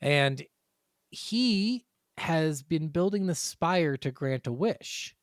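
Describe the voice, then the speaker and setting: A man with a slightly high voice, recorded close and dry. He talks as a podcast co-host in casual gaming chat, telling things in an animated way and swearing freely. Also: takes it slowly, no room sound.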